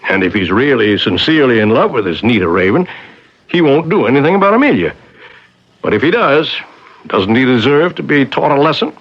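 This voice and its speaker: An actor playing a scheming older professor uncle in an old-time radio drama, smooth, theatrical and persuasive. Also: male voice, mid-range voice, sing-song, a little fast. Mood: coolly insistent. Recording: dull old radio broadcast recording.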